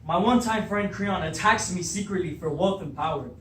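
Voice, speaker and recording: A man's voice: high-pitched, brisk, a bit bright. A young man acting an angry, suspicious king in beginner stage readers' theatre, with an indignant, accusing manner. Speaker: drama student. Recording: roomy, distant mic, faint noise.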